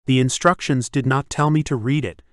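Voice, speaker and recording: Awkward young newcomer, the narrator's voice lifted a bit: polite, hesitant. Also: male voice, medium pitch, fairly even intonation, moderate pace, no room sound, clean studio sound, pitched above the performer's own voice, natural voice. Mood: uneasy. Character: awkward young man out of place.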